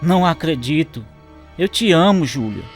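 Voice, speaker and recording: High-pitched loving husband. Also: male voice, animated, moderate pace, soft background music. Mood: anxious, tender. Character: Eduardo, loving, worried husband. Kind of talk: radio drama acting.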